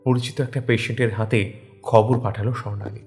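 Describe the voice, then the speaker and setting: Casting: male narrator for scripted fiction, mid-pitched voice, music underneath. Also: steady tone, brisk, a little mellow. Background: background music.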